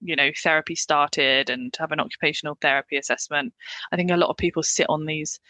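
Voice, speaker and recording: A young English woman, a paramedic, talking candidly in an interview, chatty and quick to laugh with lots of fillers. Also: low voice, fairly even intonation, pushed and bright, dry recording. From England. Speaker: paramedic guest.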